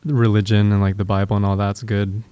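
A man's voice: lowish voice, little pitch movement, fairly quick, mellow, smooth. A man who grew up partly in Japan, talking in a casual group chat, hesitant with many fillers. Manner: hesitant, many ums and likes.

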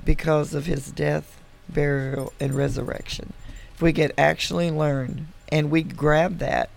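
Gravelly-voiced woman hosting a faith podcast. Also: low voice, steady tone, measured pace, a little mellow. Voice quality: gravelly, congested. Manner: laughs often, earnest when preaching. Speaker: podcast host. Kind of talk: casual podcast chat.

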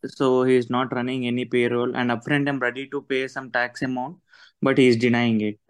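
A man's voice: medium pitch, level tone, fairly quick, clear, resonant tone, no room sound. A man calling for advice, politely describing his situation. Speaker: caller seeking advice. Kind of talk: polite account on a call.

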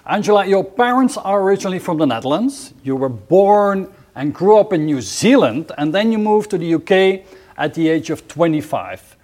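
A man with a slightly high-pitched voice hosts a formal interview. He delivers prepared introductions and questions in a measured, deliberate way. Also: lively intonation, darkish tone. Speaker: interviewer, host.

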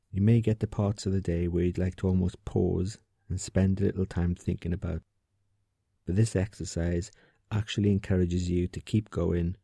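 A man with a low, very dark and relaxed voice leads a sleep meditation. His tone is soft and husky with a creaky edge. He speaks gently and without hurry, leaving room between phrases. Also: no echo, studio quality. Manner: soothing, gentle, unhurried, with pauses. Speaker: meditation guide. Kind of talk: guided sleep meditation, calm instruction.

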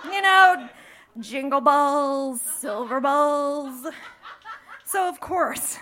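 A woman's voice: sits high, expressive, drawn-out words, sharp tone, slight background noise. A young woman from the Midwest telling a bawdy, playful personal story on stage in front of a live audience, laughing through her lines. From American Midwest.

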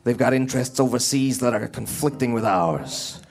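A man playing a gravelly, pompous schemer. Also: mid-range voice, expressive, moderate pace, darkish tone, close to an everyday voice. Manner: pompous, bossy. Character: scheming, pompous American official. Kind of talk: comic audio sketch.

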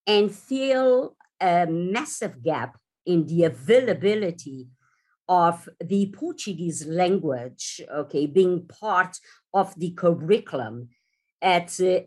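A woman moderating a panel discussion, pausing often and filling the gaps with hesitant sounds. She speaks quite slowly in a lowish voice. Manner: halting, many fillers.

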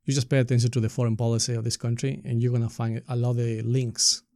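A man's voice: medium pitch, flat delivery, quick pace, darkish tone, no echo, clean studio sound. A middle-aged man with an Ecuadorian Spanish accent, explaining things conversationally as an interview guest and often checking points with a tag question. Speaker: podcast guest, community activist and soccer coach.

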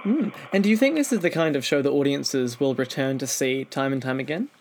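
A man's voice: mid-range voice, a little fast, slight background noise. A man hosting an interview, putting conversational questions to his guest. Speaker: interview host.

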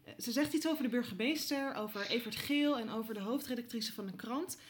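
Young newsroom reporter, played by an actress: somewhat high-pitched, eager, polite, hesitant yet probing, radio drama. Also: female voice, brisk, a little hiss or hum, natural voice. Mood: pleading, persistent. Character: eager, inquisitive young woman reporter, hesitant but persistent. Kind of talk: naturalistic audio drama dialogue.

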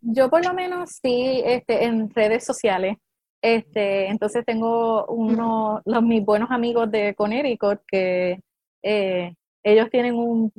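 A woman with a Puerto Rican accent in a casual chat, pausing often with fillers. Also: a little high, darkish tone, no room sound. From Puerto Rico. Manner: hesitant, many fillers. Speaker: guest.

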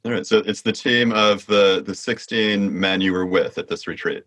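A man's voice: fairly low pitch, normal speed, fairly bright tone, no echo, studio quality. A man hosting an interview podcast, welcoming listeners and asking probing, inviting questions. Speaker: podcast host.

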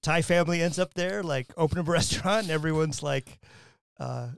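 Man, host, from Wyoming, gravelly voice, rambling, restarts, dry close mic. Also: on the high side, a little fast, no echo. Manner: rambling, many restarts and fillers, laughs often. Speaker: podcast host. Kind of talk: casual academic interview.

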